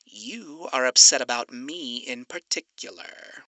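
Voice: mid-range voice, a little slow, pushed and bright, no room sound. A performer voicing a suave, sinister male demon in an audiobook, drawling and teasing with theatrical menace. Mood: quietly probing. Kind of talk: acted audiobook dialogue.

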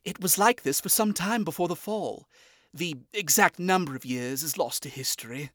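A man's voice: on the high side, moderate pace, a bit bright, no room sound, studio quality, pitched above the performer's own voice. A man voicing a fussy, refined male owl demon prince who speaks formally and properly, reading a fan story aloud. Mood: lecturing.

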